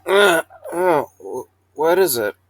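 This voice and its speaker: An actor playing a tired, hesitant young man in an audio drama, speaking haltingly and warily. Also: a little high, very animated, no echo, full character voice. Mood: groggy.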